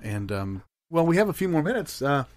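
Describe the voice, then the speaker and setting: A man improvising the part of an affable local radio host who rambles haltingly through a comedy interview. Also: on the high side, big pitch swings, no room sound. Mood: easygoing, promotional. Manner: halting, hesitant, trailing off.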